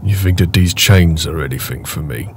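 A man playing a big, gruff, protective orc raider with a wry, casual manner in a fantasy roleplay audio drama. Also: low-pitched, normal speed, slight background noise. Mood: indignant, protective. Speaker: voice actor.

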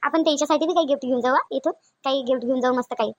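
A woman's voice: sits high, rapid-fire, dry recording, muffled recording, lightly acted. A woman voicing a shy, naive young newlywed wife in a cartoon, fretful and exclaiming, with a Varhadi Marathi accent from Vidarbha. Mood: happy. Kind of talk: cartoon dialogue acting in colloquial dialect.

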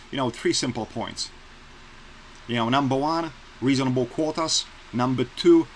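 A man from Poland speaks English with a Polish accent, earnest and plainspoken, pressing his points again and again. His voice sits at an ordinary male pitch. It comes through a webcam in a small bedroom with a faint hiss under it. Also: a bit bright, poor audio. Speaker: vlogger. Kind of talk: casual opinion vlog to camera. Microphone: webcam close-up.

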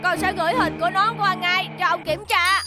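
A woman's voice: very high-pitched, steady tone, normal speed, loud music behind the voice. A woman voicing a brave, earnest young superhero boy in a dubbed cartoon, speaking with urgency and determination. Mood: determined.

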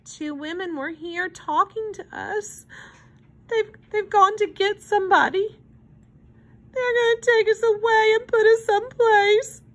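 A woman voicing anxious young children, earnest and pleading, in an expressive children's storybook reading. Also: extremely high pitch, unhurried, voice pushed up, full character voice. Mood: tearful, pleading. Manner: plaintive, earnest.

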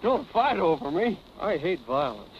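An actor playing a cocky, self-admiring prize fighter in a 1940s film drama. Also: male voice, high-pitched, very animated, normal speed. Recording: dull, noisy 1940s film soundtrack.

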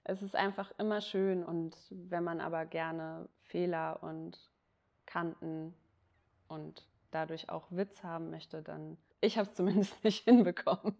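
Woman, 30s, low gravelly voice, chatty interviewee. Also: steady tone, a little slow, a little mellow. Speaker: interviewee photographer. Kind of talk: casual interview talk.

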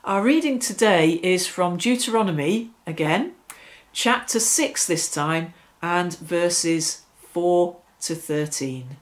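Slow, deliberate woman leading prayers. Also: lowish voice. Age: middle-aged. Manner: deliberate, phrase-by-phrase delivery. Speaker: prayer leader. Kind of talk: devotional reflection and prayer, measured reading. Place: small domestic room, seated on a sofa.

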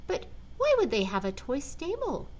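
Woman voicing an excitable little Christmas ornament, high-pitched. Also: normal speed, a little hiss or hum. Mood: curious. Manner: exclaiming, wide-eyed and eager.

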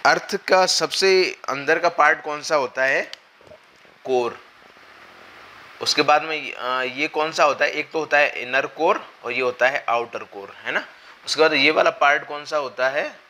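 Hoarse young man teaching at a whiteboard. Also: on the high side, measured pace, bright, forceful tone. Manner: explanatory, with frequent check-ins and hesitations. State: hoarse from a cold. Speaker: exam coaching teacher. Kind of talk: whiteboard lecture. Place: classroom at a whiteboard, indoors. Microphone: lapel mic.

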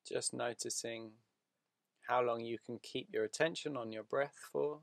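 A man leading a guided meditation in a soothing, unhurried manner, speaking in short phrases with long pauses. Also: medium pitch, fairly even intonation, gravelly, no room sound, clean studio sound.

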